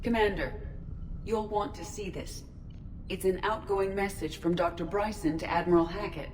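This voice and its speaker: An actress playing a formal, precise, helpful artificial intelligence in a video game. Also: female voice, mid-range voice, moderate pace, background noise, natural voice. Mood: calm, analytical. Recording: music under the voice.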